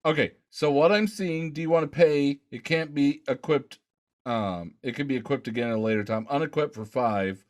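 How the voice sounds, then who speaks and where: A man's voice: medium pitch, normal speed. A man co-hosting a gaming podcast, chatting casually and thinking aloud as he tries things out in a game. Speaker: podcast co-host.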